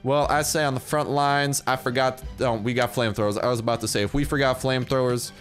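A young man giving casual, excitable commentary over his own gameplay, jumping into high yelps when the battle turns. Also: quick pace, soft background music. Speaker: gaming YouTuber.

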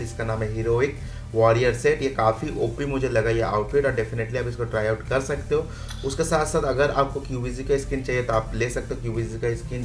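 A man casually walking viewers through game events step by step in an instructional way. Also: mid-range voice. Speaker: gaming video host. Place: voice-over with music behind.

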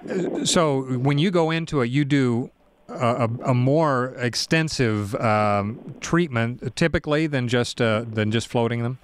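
A man's voice: medium pitch, unhurried, slight background noise. A man hosting a radio interview, asking curious questions and restating points for his listeners. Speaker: radio show host.

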